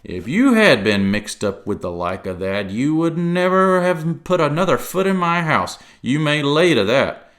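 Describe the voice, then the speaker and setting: Brief: man voicing a loud, cunning one-legged pirate cook, high-pitched bluster, salty talk. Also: very animated, unhurried.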